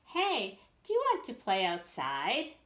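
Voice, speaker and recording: A woman voicing an excitable, curious young girl in a children's storybook, eager and expressive. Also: medium pitch, slow pace, reverberant, exaggerated voice.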